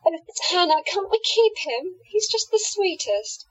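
A high-voiced, commanding yet affectionate enchantress acted by a woman in a radio play. Her pitch moves in lively swoops as she gives out hypnotic orders and endearments. The sound is slightly muffled. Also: a little slow, heavily acted. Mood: pleading, doting. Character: confident, affectionate otherworldly woman with hypnotic powers. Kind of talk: audio drama acting.

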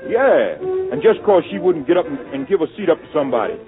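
A man's voice: a little high, very animated, quick pace, creaky. Bitter sarcastic bar guy, slangy, 40s radio play, tinny w/ music. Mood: bitter, sardonic. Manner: colloquial, sardonic. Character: bitter, skeptical Harlem man arguing in a tavern. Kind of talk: 1940s radio acting.

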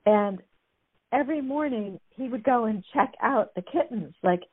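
A woman's voice: fairly high pitch, lively intonation, moderate pace, husky. A woman chattily retelling stories as a guest, amused, with many fillers. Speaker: guest discussing a book. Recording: tinny phone-like line.

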